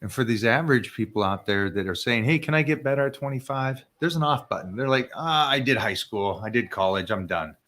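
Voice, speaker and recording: Man, fitness coach host, live stream chat, emphatic and energetic, repeats words when fired up, mid-range voice. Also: medium pitch, fairly quick, hardly any pauses.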